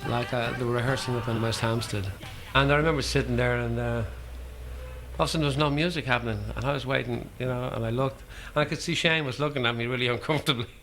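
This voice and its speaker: A man's voice: mid-range voice, fairly even intonation, fast talker, a bit bright, faint music behind. A man, a band manager, telling casual anecdotes in a chatty way in an interview. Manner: chatty storytelling.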